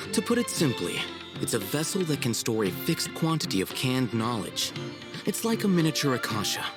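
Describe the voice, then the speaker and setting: A man voicing a cool, haughty young scholar in a video game, formal and measured. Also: medium pitch, natural voice. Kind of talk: video game cutscene acting. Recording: music behind the voice.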